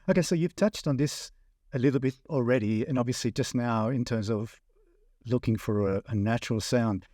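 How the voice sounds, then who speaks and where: Dry-sounding male interviewer, medium pitch. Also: moderate pace, mellow, no echo. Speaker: interviewer, audio magazine editor. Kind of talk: conversational interviewing.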